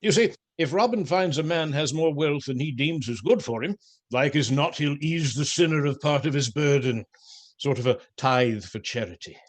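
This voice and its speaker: An actor as a pious, genial man of an outlaw band in a radio drama. His voice sits a little high and he makes wry, courtly apologies. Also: normal speed, natural voice. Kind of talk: theatrical radio play acting.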